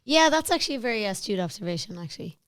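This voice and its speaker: A woman's voice: medium pitch, sing-song, rapid-fire, no echo. Irish woman hosting, dry close sound. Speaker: podcast host. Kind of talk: casual interview chat.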